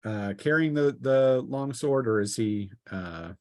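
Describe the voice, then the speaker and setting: A man narrating a tabletop role-playing game as game master, in a halting, hesitant delivery with improvised phrasing. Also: medium pitch, lively intonation, a little mellow, no room sound, studio quality.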